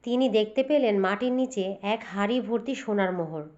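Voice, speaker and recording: Woman storyteller, fairy-tale cartoon narration, medium pitch, slightly dull lo-fi sound. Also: brisk. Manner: steady, expressive storytelling. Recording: dull, lo-fi recording.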